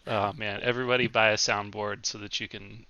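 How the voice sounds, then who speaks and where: A man's voice: lowish voice, little pitch movement, fairly quick, lo-fi sound, crowd noise. A man acting as game master, recapping the last session in a loose way with many ums and self-corrections.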